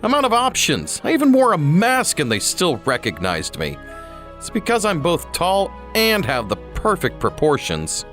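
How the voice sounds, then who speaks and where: A man voicing a vain, anxious young celebrity in an animated story, with a theatrical, exasperated way of talking. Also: on the high side, normal speed. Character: tall, vain, anxious young male celebrity, teasing and proud. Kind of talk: animated story character dialogue. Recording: voice over background music. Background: music.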